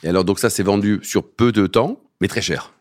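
A man's voice: lowish voice, a little fast, darkish tone. A man hosting a business radio show who introduces guests and questions them in a jovial, teasing way.

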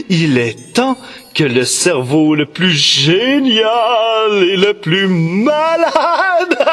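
Villain: gloating mad scientist, slow and theatrical, reverberant hall, music behind. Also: male voice, high voice, fairly bright tone, exaggerated voice. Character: demonic mad male professor, gleeful villain. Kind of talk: children's radio drama acting.

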